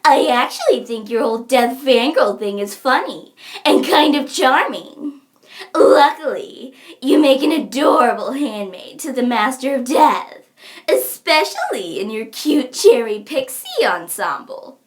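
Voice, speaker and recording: A woman voicing an odd, blunt young troll girl with deliberate, theatrical delivery. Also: sits high, sing-song. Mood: fond, soothing. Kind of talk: fan character voice acting reel.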